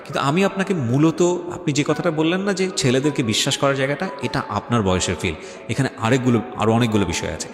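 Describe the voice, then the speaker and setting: A Bangladeshi man hosts a radio show, talking fast and pressing his points insistently as he advises a guest. He mixes in English phrases. His voice rings in a reverberant hall with soft music behind it. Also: mid-range voice, expressive. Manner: emphatic, insistent, argumentative, code-switches into English. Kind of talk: talk show interview, advising. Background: faint background music.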